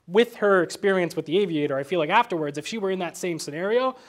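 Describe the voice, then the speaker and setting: Male, higher register, halting think-aloud delivery for an informal movie discussion. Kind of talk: casual film discussion.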